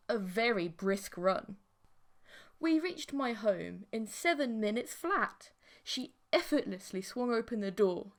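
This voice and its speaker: A woman with a fairly high voice narrates an audiobook. She reads scripted fiction in the first person, telling the story as its central character.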